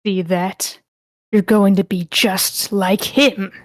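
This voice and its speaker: Monster spirit played by a woman: mid-pitched, theatrical, scornful, archaic diction, dry close sound, table read. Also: steady tone, slow, no echo. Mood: scornful, threatening.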